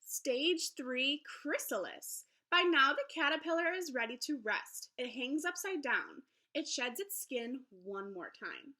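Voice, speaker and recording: A woman teacher reading aloud to young children in an encouraging, expressive storybook manner. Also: high voice. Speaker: elementary school teacher. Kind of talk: children's read-aloud lesson.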